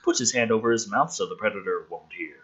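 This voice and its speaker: A man with a raspy voice reading a script aloud dramatically, mixed with casual, sardonic, sweary commentary. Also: fairly low pitch, quick pace, a bit bright, dull audio. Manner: sardonic, sweary, exasperated asides. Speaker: host reading and critiquing a film script draft.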